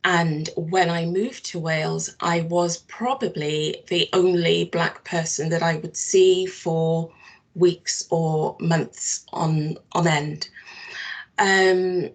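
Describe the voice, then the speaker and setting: A woman in her fifties from Barbados speaks slowly and deliberately, her lowish voice carrying a gravelly edge. She answers interview questions thoughtfully, pausing and restarting as she works through her ideas. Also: fairly even intonation. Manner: thoughtful, measured, many restarts and fillers. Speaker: social work team manager.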